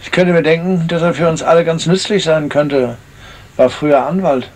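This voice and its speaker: An actor playing a polite, cooperative gentleman in a 1970s German radio crime drama, measured and somewhat hesitant. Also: on the high side, fairly even intonation, lightly acted. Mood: persuasive. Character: cooperative gentleman witness, member of a yacht party.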